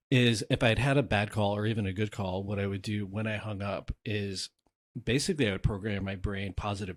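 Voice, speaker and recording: Man, podcast host, low voice, dry close sound, casual storytelling. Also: dry recording. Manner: conversational, storytelling with asides.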